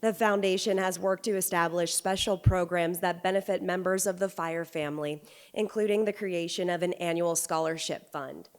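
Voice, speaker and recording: A woman with a lowish voice reads scripted introductions at an awards ceremony. She speaks into a podium microphone in a formal, smooth, polished manner. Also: steady tone, normal speed. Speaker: ceremony emcee. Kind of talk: scripted announcement.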